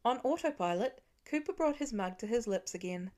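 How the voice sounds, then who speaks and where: A woman's voice: fairly high pitch, animated, normal speed, a little mellow. A woman reading scripted fiction as an audiobook narrator.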